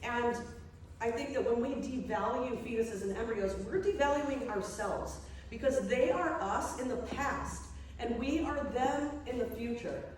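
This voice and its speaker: A woman's voice: fairly high pitch, darkish tone. A woman giving the earnest, emphatic closing of her debate speech in a university lecture hall. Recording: echoey hall from far off, faint noise.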